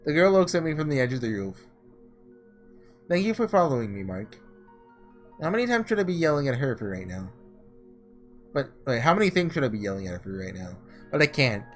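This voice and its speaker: Animated man reading and reacting. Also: medium pitch, fast talker, soft background music. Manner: animated, dramatic reading with offhand sarcastic asides. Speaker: gaming host reading aloud and reacting. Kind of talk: let's-play commentary and read-aloud narration of a visual novel.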